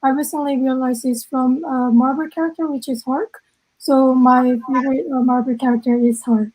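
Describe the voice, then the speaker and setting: High-voiced Korean woman presenting. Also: fairly even intonation, darkish tone, low-quality recording. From South Korea. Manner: halting, many fillers. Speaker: event co-host. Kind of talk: presenting at an online event.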